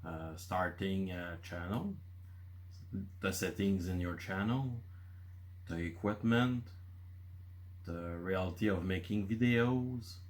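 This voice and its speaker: Slow, deep-voiced man with a Quebecois French accent. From Quebec City, Canada. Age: 50s. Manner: halting, many filler uhs. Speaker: channel host. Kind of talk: casual talk from bullet points.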